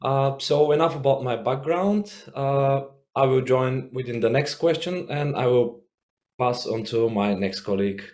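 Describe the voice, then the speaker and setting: A man's voice: medium pitch, unhurried, a little mellow. A man from Bulgaria, a first-year student, introducing himself in a friendly way with frequent "uh" fillers. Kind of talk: self-introduction.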